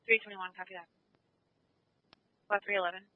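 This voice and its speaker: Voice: medium pitch, level tone, normal speed, no room sound. A police dispatcher giving procedural, matter-of-fact calls over a scanner radio. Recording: lo-fi phone-like scanner radio audio.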